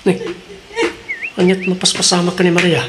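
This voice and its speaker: A man's voice: high voice, monotone, normal speed, natural voice. An actor with an Ilocano accent playing a friendly, helpful male coworker in a radio drama. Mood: concerned. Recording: noisy radio drama recording.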